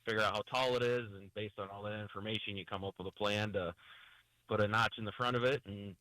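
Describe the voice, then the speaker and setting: A man's voice: on the low side, steady tone, quick pace, sharp tone, no echo, poor audio. A man calling in as a guest on a radio show, plain-spoken and matter-of-fact, with a froggy voice as he gets over a cold. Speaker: call-in guest, tree cutter. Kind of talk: phone-in chat.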